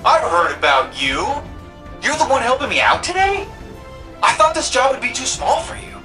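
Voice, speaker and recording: A young tech-whiz sidekick for a video game, exuberant and slangy. The voice is bright and very lively, playful and cheeky, with faint music behind it. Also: sits high, normal speed, exaggerated voice. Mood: upbeat. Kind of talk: video game character dialogue.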